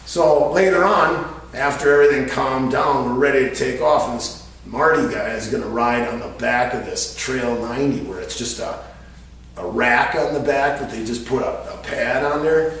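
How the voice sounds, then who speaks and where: A man's voice: medium pitch, normal speed, fairly bright tone. A middle-aged man casually telling a story in a colloquial, crude, joking way, recorded in a garage on a camera several feet away. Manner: colloquial, crude humor, chuckles as he tells it. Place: garage workshop, indoors. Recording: echoey, distant camera mic with faint noise.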